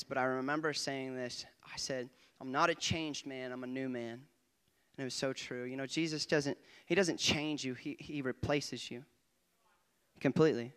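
A young man, a guest preacher, giving an excitable testimony in church on a handheld mic, often laughing and swinging between quiet asides and loud bursts. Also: medium pitch, smooth. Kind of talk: testimony sermon. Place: church stage before a congregation. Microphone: handheld microphone.

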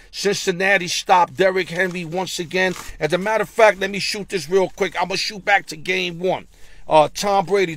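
A middle-aged man talking football straight into a phone from inside his car. His voice sits high for a man and has a rough, gravelly edge. The sound is close and dry, and he rattles off stats bluntly, stumbling over his words now and then. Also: a bit bright, no echo. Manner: blunt, rapid stat-reading with slang and stammered repeats. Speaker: sports betting show host. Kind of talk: casual NFL recap and picks. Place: parked car, indoors. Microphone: phone held at arm's length.

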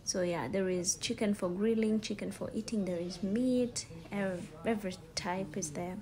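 A young woman with a Ugandan accent narrating a casual vlog in an informal, halting, repetitive way. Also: medium pitch, dark tone. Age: young adult. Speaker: vlogger, student. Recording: store ambience noise, handheld phone. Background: faint ambient noise.

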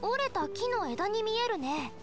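A calm, observant young adventuring heroine in an anime game. The voice is very high and girlish, with game music clearly playing behind it. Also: normal speed. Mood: calm, explaining.

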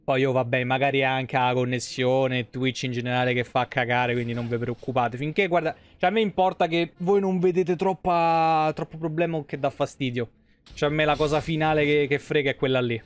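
A man's voice: medium pitch, fairly even intonation, a little fast, clean tone. A man, giving casual live game commentary full of slang and swearing. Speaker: game streamer. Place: indoor room at home, streaming on webcam.

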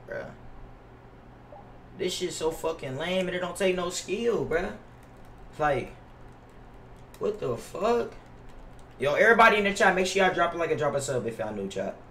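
Young male streamer, casual and complaining with lots of swearing; middle-pitched, roomy distant mic with background noise. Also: fairly bright tone. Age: young adult. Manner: slangy, profane, complaining. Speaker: livestreamer playing a basketball video game. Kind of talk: casual live stream chat and gameplay reaction. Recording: roomy echo, off-mic, noisy with keyboard clicks.

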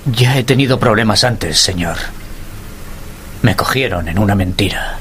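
A man's voice: lowish voice, normal speed, a bit bright, slight background noise, natural voice. A man acting in a radio drama as a desperate young workman who pleads that he is wrongly accused. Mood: despairing. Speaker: voice actor.

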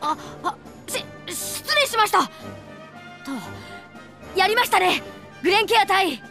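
High-voiced, flustered young boy soldier. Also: expressive, a little slow, bright, forceful tone, soft background music, heavily acted. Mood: earnest. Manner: earnest, stammering. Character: eager, flustered boy soldier insisting he is grown. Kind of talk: video game cutscene acting.

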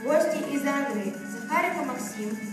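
A woman hosts a school stage event, loudly announcing participants. Her high voice carries from a distance across a very echoey assembly hall. Loud music plays underneath. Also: expressive, normal speed. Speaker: event presenter.

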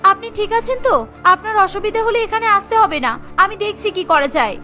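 A woman's voice: extremely high pitch, normal speed, pushed and bright, faint music behind. A woman voicing a brave, caring young mother who works as a medic in a cartoon, earnest and pleading in manner. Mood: reassuring. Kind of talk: Bengali cartoon voice acting.

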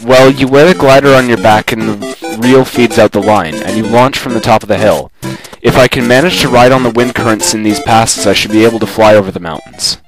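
A man's voice: on the low side, fairly quick, close to an everyday voice, rough recording. A man voicing a proud, determined young dreamer who flies gliders, played earnestly for an anime fan dub. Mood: enthusiastic. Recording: prominent music behind the voice.

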